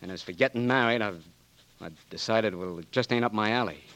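An actor playing a young marine blinded in war, bitter and stubborn, with gruff clipped working-class speech, in 1940s radio acting. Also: male voice, lowish voice, fairly quick, a bit bright, natural voice, low-quality recording. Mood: resigned.

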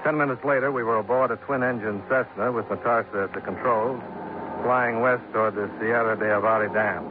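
Actor as a wry investigator, tinny old radio. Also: male voice, medium pitch, brisk, noisy background, natural voice. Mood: businesslike narration. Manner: dry, sardonic, clipped. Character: cool, wry American insurance investigator hero. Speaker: lead and first-person narrator. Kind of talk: 1950s hard-boiled radio drama.

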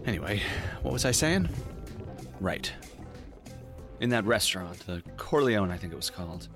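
Sarcastic jumpy detective, grumbles a lot, swings all over, noir audio drama w music. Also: male voice, low voice, sing-song, moderate pace. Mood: wry. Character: wisecracking, prickly, jittery male private detective.

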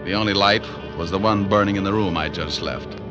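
A man playing a suave, wisecracking hard-boiled private detective in radio drama style, with a sardonic, clipped, quick-witted delivery. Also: low voice, highs cut off, music in the background, natural voice. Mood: wry narration. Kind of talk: 1940s-50s radio drama acting.

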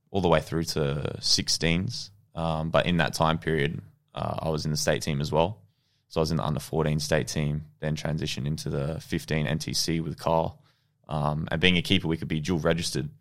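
A young Australian man with a very deep voice and a gravelly, creaky edge. He tells his story in a relaxed, rambling way, full of ums and restarts, and laughs easily. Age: 20s. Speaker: podcast guest, goalkeeper. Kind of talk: casual interview storytelling.

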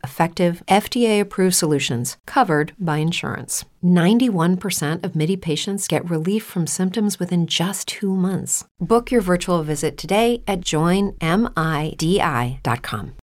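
A woman narrates a scripted advertisement. Her voice sits a little low for a woman. She delivers it as a commercial voice-over. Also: darkish tone.

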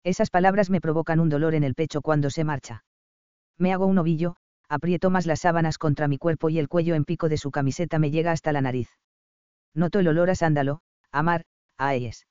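A man narrating a first-person romance audiobook in a calm, even storytelling manner, in a slightly dull, dry recording. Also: fairly high pitch, brisk, clean tone, no echo.

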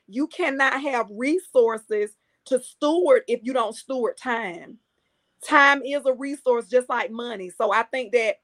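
A woman's voice: on the high side, a bit bright. A middle-aged woman, a coach and speaker, talking earnestly in a podcast interview and repeating her points for emphasis. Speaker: guest, empowerment coach.

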